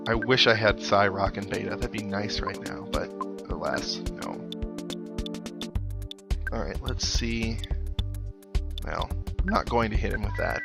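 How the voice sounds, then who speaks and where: A man giving casual, wry commentary as he hosts a video game playthrough. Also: lowish voice. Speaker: video game let's play host. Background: video game music.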